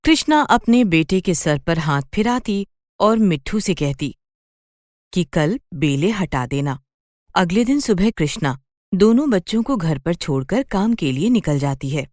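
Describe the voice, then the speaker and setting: A woman narrator tells a scripted children's story in a fairly low voice. Her delivery moves widely in pitch, and the sound is dry and close. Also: lively intonation, measured pace, a little mellow, no echo.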